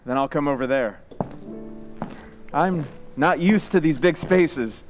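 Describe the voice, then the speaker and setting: A man's voice: fairly high pitch, slow pace, soft background music. A man playing a moody, weak and violent kept husband of a movie star, terse and intense, in an old-time radio suspense play. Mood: low, yearning. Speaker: actor in a community playhouse radio play.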